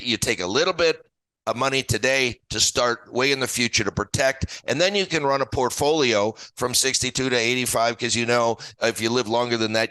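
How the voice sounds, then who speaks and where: Bright, forceful American man in his sixties. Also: on the low side, no room sound, rough recording. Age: early 60s. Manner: emphatic, plain-spoken, folksy. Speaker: guest retirement expert and author. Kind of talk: conversational interview explanation.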